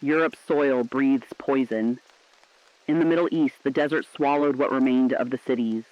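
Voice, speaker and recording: A man's voice: medium pitch, steady tone, moderate pace, no room sound, close to an everyday voice. Guy playing mournful last radio host at world's end, poetic and earnest, dry slightly dull sound. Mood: mournful, haunted. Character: mournful, defiant last radio broadcaster bearing witness. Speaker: radio broadcaster. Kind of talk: scripted dramatic radio narration.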